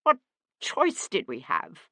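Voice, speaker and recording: A woman's voice: fairly low pitch, huge pitch swings, takes it slowly, pushed and bright, no room sound, heavily acted. A woman voicing a blustering middle-aged merchant man in an audiobook, indignant, guilty and pleading. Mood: desperate pleading.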